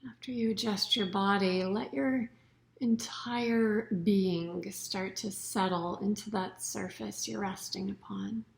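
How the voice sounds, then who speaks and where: Woman softly guiding a relaxation meditation. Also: medium pitch. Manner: soothing, unhurried, with soft breathy phrase endings. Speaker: yoga nidra meditation teacher.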